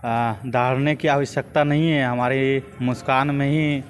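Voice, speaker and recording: A young man with a Jharkhand Hindi accent answering as a political activist in an interview, in a measured, hesitant way with repeated phrases. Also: mid-range voice, steady tone. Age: young adult.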